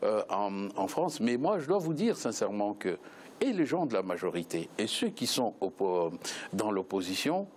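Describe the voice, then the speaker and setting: Guy with a lowish voice on a political panel, repeats himself while arguing. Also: big pitch swings, normal speed, darkish tone, breathy edge. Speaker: debate panel guest. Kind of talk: political analysis in spoken debate.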